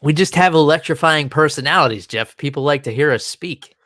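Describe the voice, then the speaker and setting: A man's voice: fairly high pitch, brisk, a bit bright, dry recording. Guy cohosting a podcast, rambly, lots of ums, dry close sound. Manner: rambling, many fillers and restarts. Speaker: podcast co-host. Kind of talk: casual chat.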